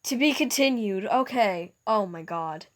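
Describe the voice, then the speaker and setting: A woman's voice: medium pitch, animated, a little slow. A woman reading a second-person fan story aloud, with frequent stumbles and restarts. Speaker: storyteller and video maker.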